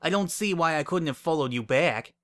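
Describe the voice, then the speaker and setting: A man's voice: on the high side, lively intonation, a little fast, fairly bright tone, voice pushed up. A man voicing a haughty, scornful young female pony with a pompous, sniffy manner in a dramatic story reading.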